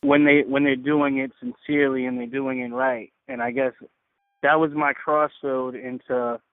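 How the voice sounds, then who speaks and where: A man shares reflective thoughts as a caller, choosing his words haltingly and earnestly. His mid-pitched voice comes through a narrow, radio-like phone connection with no room sound around it. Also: level tone, no room sound. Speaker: caller on a faith community call. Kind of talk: casual, reflective personal sharing.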